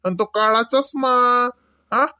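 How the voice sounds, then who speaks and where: A man's voice: very high-pitched, fairly even intonation, takes it slowly, pushed and bright, clean tone, exaggerated voice. A man playing a playful older village husband and father in a comedy cartoon, with a Varhadi Marathi accent and a folksy, rambling, mock-complaining way of talking. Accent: Varhadi Marathi from Vidarbha.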